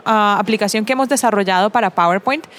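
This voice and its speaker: A woman's voice: medium pitch, fast talker, fairly bright tone. A woman from Colombia, a guest tech presenter, explaining with enthusiasm in a casual interview.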